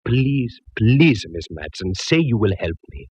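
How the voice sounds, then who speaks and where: An actor playing a nervous middle-aged restaurant owner, pleading in broken English, from a dull old radio broadcast. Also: male voice, on the low side, big pitch swings, measured pace, a little mellow, husky, exaggerated voice. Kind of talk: 1940s radio acting.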